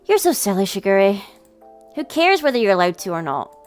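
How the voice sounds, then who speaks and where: A woman voicing a caring, earnest older brother from a visual novel in a gentle, sincere manner. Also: mid-range voice, big pitch swings, brisk, faint music behind, close to an everyday voice. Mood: tender, reassuring. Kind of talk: visual novel character voice in a let's play.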